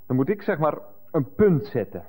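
An actor playing an irritable, evasive and jealous Dutch lover with a Dutch accent, blustering self-importantly in a radio play. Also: male voice, on the high side, big pitch swings, moderate pace, dark tone, in a large hall. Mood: defensive, pompous.

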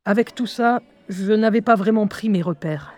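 A woman playing a lively young schoolgirl who narrates her life in a wry, confiding, expressive way in an audio drama. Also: mid-range voice, moderate pace, no room sound, natural voice. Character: lively eleven-year-old schoolgirl recounting life with inner voices.

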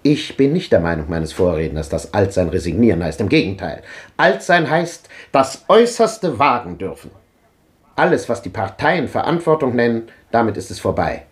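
Casting: a fiery old thinker in a 1950s radio play, declamatory, with a pitch that swings widely. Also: male voice, big pitch swings, normal speed. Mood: declaiming. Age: older. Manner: declamatory, impassioned, rhetorical. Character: old man, fervent visionary philosopher. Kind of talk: 1950s radio drama acting.

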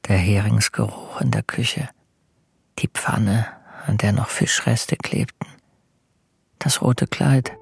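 An actor playing a timid, lonely middle-aged husband in a radio play, speaking hesitantly and brooding in quiet narration. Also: male voice, on the low side, takes it slowly, creaky, pauses often, breathy edge, no room sound, whispered, clean studio sound, full character voice. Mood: melancholy, brooding. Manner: hesitant, terse, melancholy. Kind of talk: German radio play acting with introspective narration. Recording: music behind the voice. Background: music.

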